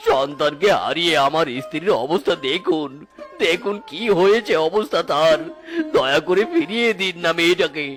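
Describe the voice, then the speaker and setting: A man's voice: fairly high pitch, expressive, moderate pace, a bit bright, soft background music. A man voicing a distressed cartoon husband and father who pleads earnestly. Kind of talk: cartoon voice acting.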